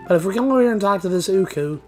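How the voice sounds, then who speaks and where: A man with a slightly hoarse, groggy voice giving loose, rambling let's play commentary. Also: sits high, animated, racing, faint music behind. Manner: rambling, loose, with many fillers and restarts. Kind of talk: casual gameplay commentary.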